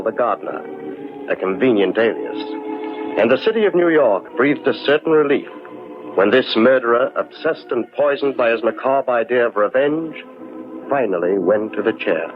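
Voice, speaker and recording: An actor playing a solemn narrator of mystery tales in 1940s radio style. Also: male voice, mid-range voice, natural voice. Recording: muffled vintage 1940s radio recording.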